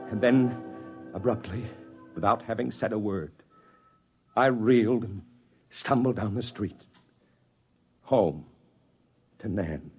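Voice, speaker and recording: A man's voice: lowish voice, slow, pauses often. A man acting a desperate journalist father in an old radio drama, both speaking and narrating in hard-boiled style. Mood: tense narration. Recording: dull old radio recording, loud music behind.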